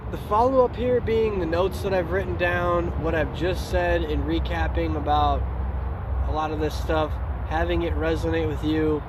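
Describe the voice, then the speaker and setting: A man with a slightly high voice hosts a solo podcast. He swears freely, wanders off on tangents and circles back over his own words. The recording carries clear background noise, like vehicle or outdoor ambience. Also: fairly even intonation, normal speed. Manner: profane, digressive, self-interrupting, repeats words. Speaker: podcast host. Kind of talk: rambling solo podcast monologue.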